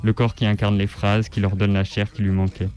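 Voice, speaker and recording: A man reading a written text aloud on the radio in a measured, articulate, declamatory way. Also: lowish voice, flat delivery, darkish tone, soft background music. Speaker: radio reader. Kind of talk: scripted literary reading aloud.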